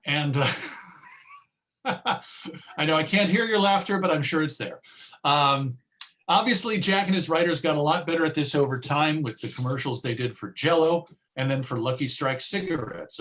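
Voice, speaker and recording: A man presents in an informal lecture style. His voice sits a little high for a man, and he talks conversationally, as a host explaining old broadcasts. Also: lively intonation, normal speed, fairly bright tone. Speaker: presenter of old-time radio show. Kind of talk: informal talk about radio history. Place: speaking to an unseen remote audience.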